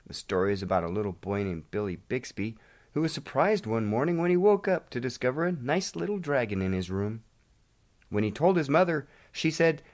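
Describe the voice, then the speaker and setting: A man hosting a devotional, reading aloud and praying. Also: medium pitch. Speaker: devotional host.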